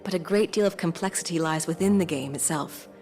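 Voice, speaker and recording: A woman playing a calm, formal woman who speaks politely and with measured logic. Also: fairly low pitch, soft background music, natural voice.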